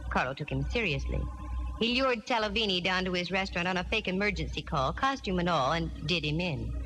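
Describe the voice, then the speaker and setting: A woman's voice: lowish voice, animated, a little fast, a bit bright. Sassy girl private eye, old radio show, slangy and wry, echoey with some music. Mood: dramatic, wry. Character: wisecracking, self-assured female private eye. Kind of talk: 1940s radio drama acting and first-person narration. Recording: vintage radio recording, long reverb, music behind.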